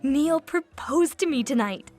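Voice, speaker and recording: A woman playing a naive, girlish seventeen-year-old aspiring actress, bubbling with excitement, in a 1950 radio play. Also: high voice, unhurried, soft background music. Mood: thrilled.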